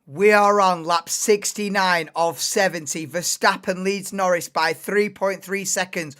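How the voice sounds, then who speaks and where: Hoarse, excitable woman calling a race. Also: on the low side, unhurried. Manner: excitable, bursts into shouts and laughter. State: losing voice, hoarse. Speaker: channel host, live race commentator. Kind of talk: live watch-along race commentary.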